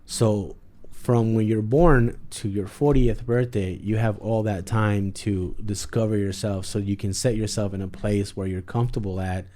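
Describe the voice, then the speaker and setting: A man's voice: lowish voice, steady tone, normal speed, hardly any pauses, warm tone. A man in his forties, a guest artist, talking in a casual, reflective way, rambling with lots of fillers. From San Jose area, US. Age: 40s. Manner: rambling, lots of "like" and "you know what I mean".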